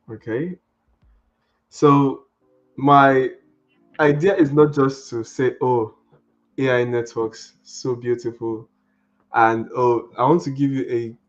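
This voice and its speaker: A man's voice: mid-range voice, dull audio. Nigerian man presenting a tech workshop. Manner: casual, friendly, with restarts. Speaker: guest speaker, network engineer.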